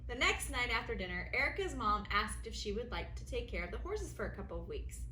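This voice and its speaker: A woman teacher reading a children's story aloud with expressive, deliberate storytelling. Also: fairly high pitch. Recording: roomy echo, faint background noise.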